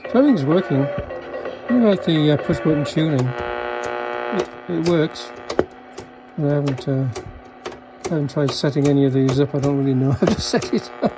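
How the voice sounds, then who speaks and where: A man's voice: a little high, moderate pace, over music, low-quality recording. A man giving a casual, unscripted demonstration as an electronics hobbyist, talking in a hesitant, understated and technical way. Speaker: electronics hobbyist demonstrating a repaired vintage car radio.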